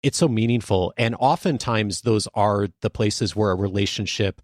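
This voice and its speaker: A man hosting a conversational interview podcast, speaking in a thoughtful way with measured phrasing. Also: on the low side, a little mellow, no echo, clean studio sound.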